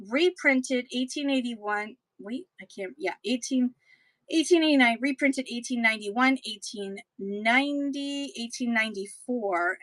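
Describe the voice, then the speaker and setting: A woman's voice: fairly high pitch, fairly bright tone, no room sound, rough recording. Chatty woman selling books on a live stream. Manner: chatty, playful sing-song asides and old-fashioned exclamations. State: voice getting hoarse. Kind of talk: casual live auction selling.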